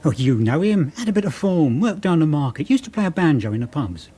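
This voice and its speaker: Husky, chatty policeman. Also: fairly high pitch, very animated, a little fast, dark tone. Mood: chatty, knowing. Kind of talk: British radio drama acting.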